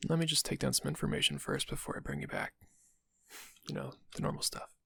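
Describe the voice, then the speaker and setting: An actor playing a friendly but subtly sinister dental receptionist in an audio roleplay, casual and polite, with a husky, gravelly voice. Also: male voice, fairly high pitch, steady tone, brisk, dry recording, exaggerated voice. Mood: friendly, businesslike. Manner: casual, polite, quietly insinuating. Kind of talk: intimate audio roleplay acting.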